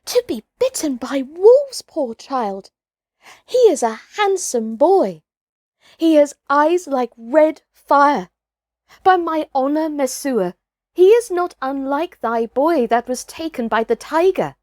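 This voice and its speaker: A pitying village woman from a children's storybook, performed by a woman reader. She fusses and exclaims tenderly, pitched high with animated swoops. The recording is dry and close. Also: slow, no echo. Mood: pitying, excited. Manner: exclamatory, fussing. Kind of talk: children's storybook character reading.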